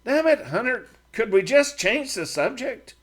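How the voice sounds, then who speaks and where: A man's voice: very high-pitched, very animated, measured pace, a bit bright, pitched above the performer's own voice, exaggerated voice. A man voicing a fussy, talkative frontier man who gets defensive when he argues with his partner.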